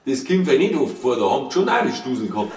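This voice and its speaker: A man's voice: mid-range voice, sing-song, quick pace, darkish tone. A man with a Bavarian accent reading his own comic verse tales aloud, playfully, with wry asides. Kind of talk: comic verse storytelling in dialect, read aloud live. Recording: roomy live recording, distant mic, faint noise.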